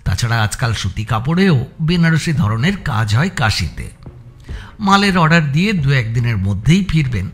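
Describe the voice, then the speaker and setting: A man narrates a scripted suspense story in a measured storytelling manner. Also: mid-range voice, big pitch swings. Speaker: audio story narrator and channel presenter.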